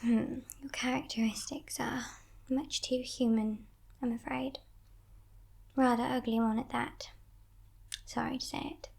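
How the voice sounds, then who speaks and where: A female demon captor acted by a woman in ASMR roleplay speaks in a breathy, creaking whisper at an unhurried pace. She is coolly amused and possessive, teasing her captive with condescending politeness. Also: on the high side, little pitch movement, full character voice. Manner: slow, hushed, mock-polite, condescending.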